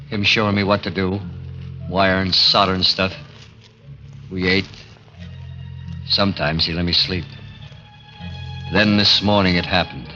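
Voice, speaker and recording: An actor playing a frightened, jittery working man on the run in 1940s radio horror, stammering and halting in plain colloquial speech. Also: sits low, pushed and bright. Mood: shaken. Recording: muffled vintage 1940s radio recording.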